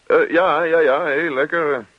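A man's voice: fairly high pitch, steady tone, unhurried, no room sound. An actor playing a grumpy, put-upon middle-aged man in a radio play, grumbling and muttering reluctant asides about his neighbour. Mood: forced politeness. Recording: dull lo-fi radio play recording.

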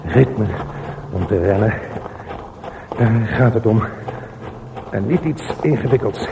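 An actor playing a solitary, obsessive aging man in a radio drama, talking to himself in halting, repetitive phrases. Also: fairly low pitch, animated. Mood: self-absorbed musing. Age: older. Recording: roomy echo with faint noise.

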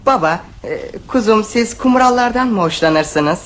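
A performer playing a cheeky, blundering, excitable boy in a radio play. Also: medium pitch, measured pace, slight background noise, close to an everyday voice. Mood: blundering, curious. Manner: blurting, excitable. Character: cheeky, blundering boy named Filip, eager schemer. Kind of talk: radio theatre acting.

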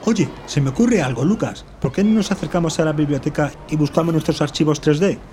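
A man's voice: a little high, brisk, warm tone, slight background noise, lightly acted. A man with an accent from Spain playing an eager, insistent young man on an urgent quest in an audio drama, speaking colloquially. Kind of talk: Spanish audio drama acting.

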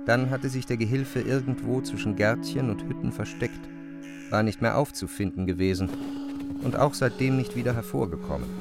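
A man's voice: medium pitch, normal speed, vocal fry, loud music behind the voice. A man reading literary narration for a radio play in pronunciation.

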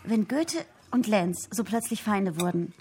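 A woman's voice: medium pitch, lively intonation, measured pace, darkish tone, slight background noise, lightly acted. An actress playing a curious, persistent woman in a naturalistic radio drama, asking direct questions.

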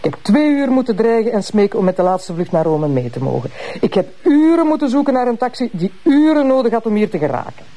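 A woman playing a blunt, teasing, sharp-tongued prosecutor married to a police inspector in a TV crime drama, with a Flemish accent. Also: on the high side, very animated, fast talker. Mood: sarcastic, annoyed. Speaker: actress in a TV crime series. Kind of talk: naturalistic TV drama acting.

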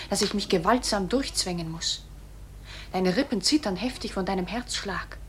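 Actress as talking bullet, female voice, middle pitch, tender, apologetic, measured, poetic radio drama, faint background noise. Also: close to an everyday voice. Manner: tender, measured, eerily calm. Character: gentle, apologetic bullet boring toward a heart. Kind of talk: poetic radio play acting.

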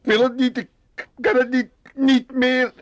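An actor playing an exasperated, panicky Amsterdam working-class son, stuttering and repeating himself in comic radio drama. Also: male voice, very high-pitched, level tone, measured pace. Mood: pleading. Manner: agitated, stuttering repetitions.

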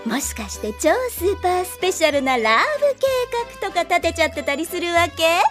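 A woman's voice: very high-pitched, expressive, fairly quick, over music. A woman playing a nosy, playful young girl who teases and pries in an anime audio drama. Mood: gleeful prying.

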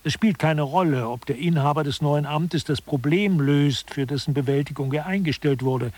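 A man's voice: on the high side, normal speed, a little mellow, no echo, lightly acted. A man playing an articulate lecturer who explains mock-scholarly theory in a scripted satirical radio play. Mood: explanatory. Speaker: narrator.

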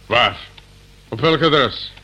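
An actor playing a pedantic police inspector in a radio play, self-important and ponderous, with hesitant questioning. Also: male voice, mid-range voice, drawn-out words, sharp tone, husky, dull audio, exaggerated voice. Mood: officious, hesitant.

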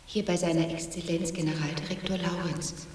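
A woman's voice: fairly low pitch, flat delivery, a little fast, over loud music, close to an everyday voice. An actress playing a meek secretary, eager to please her imperious boss, in a 1970s radio drama. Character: submissive young secretary to a tyrant. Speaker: secretary Anna. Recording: echoey hall sound, voice set far from the mic.

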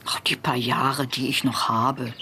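A woman's voice: extremely low pitch, little pitch movement, unhurried, pushed and bright, a little hiss or hum, heavily acted. A woman playing a clinging, reproachful elderly mother in a radio drama, nagging and coaxing. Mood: self-pitying. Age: older.

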